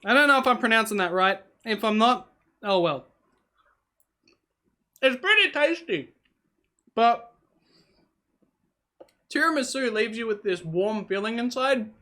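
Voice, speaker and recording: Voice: medium pitch, expressive, a little slow, long pauses, bright, forceful tone. A vlogger whose first language is Hungarian, talking casually to camera while snacking, musing aloud and wandering between thoughts with lots of fillers and self-corrections. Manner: talks while eating on camera. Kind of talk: casual rambling vlog.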